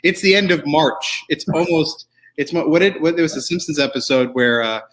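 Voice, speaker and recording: A man's voice: a little high, a little fast, a bit bright, poor audio. A man hosting a show in a casual, chatty way, rambling and joking as he goes. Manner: rambling, jokey. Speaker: show host.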